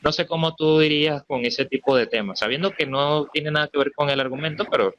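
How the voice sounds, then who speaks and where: A man's voice: on the high side, brisk, a bit bright, highs cut off, a little hiss or hum. A man taking part in a spontaneous religious discussion, searching hesitantly for precise wording as he reasons. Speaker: debate participant.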